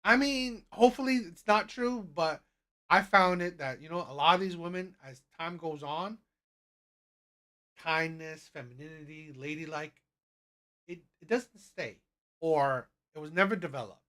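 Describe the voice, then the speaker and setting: A man hosting a reaction video, commenting in a rambling, halting way with frequent restarts. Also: a little high, fairly bright tone. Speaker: host.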